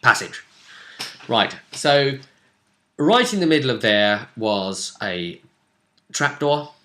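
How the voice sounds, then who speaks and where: A man's voice: medium pitch, very animated, slow pace, sharp tone. A man explaining a tabletop role-playing session as game master in casual conversation, often turning statements into tag questions.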